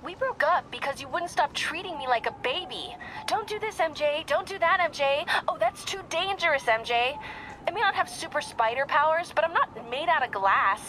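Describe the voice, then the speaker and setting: A young female reporter in a video game. She is determined and easily exasperated, with a bright, high voice. Her delivery is natural and earnest, with a sarcastic edge. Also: lively intonation, normal speed, slight background noise. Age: young adult.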